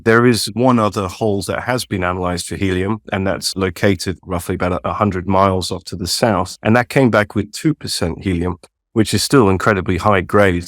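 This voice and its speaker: A low-pitched man with a non-North American English accent talks through his company's plans, explanatory and measured, pausing on fillers. The sound is dry and close, with little room tone. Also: no echo. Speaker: company CEO guest. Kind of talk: business interview answers. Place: home, dog barking at times.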